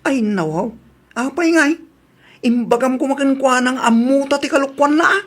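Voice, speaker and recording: A man's voice: very high-pitched, normal speed, a bit bright. A man acting an impatient, indignant husband who questions insistently, in an audio comedy drama.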